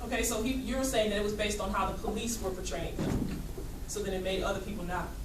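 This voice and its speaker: A woman's voice: medium pitch, quick pace. A woman teaching in a classroom, putting questions to students and repeating their answers back in a read-aloud discussion. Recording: echoey noisy classroom, distant mic.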